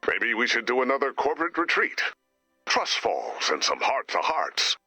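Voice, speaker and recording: A man voicing a slick, pompous corporate director spouting business jargon in a video game, gravelly and husky. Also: medium pitch, wildly swooping pitch, moderate pace, sharp tone, no echo, faint music behind, exaggerated voice. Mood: sulky, earnest. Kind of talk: video game character voice lines.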